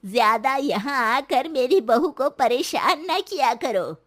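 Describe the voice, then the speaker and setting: A woman's voice: high voice, expressive, slow, fairly bright tone, pitched above the performer's own voice, full character voice. A woman voicing a blunt, protective elderly mother-in-law in a story, grumbling and curt.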